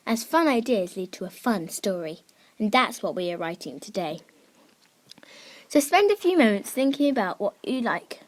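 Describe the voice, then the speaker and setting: A woman with a fairly high voice presents a scripted lesson for young listeners. She teaches creative writing in simple, encouraging language, addressing children directly as their host. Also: darkish tone. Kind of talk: scripted instructional narration for children.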